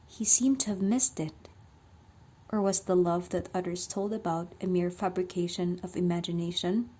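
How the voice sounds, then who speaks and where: A woman's voice: lowish voice, warm tone, husky, low-quality recording. A woman narrating and announcing a scripted radio drama in a dramatic storytelling style. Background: faint music.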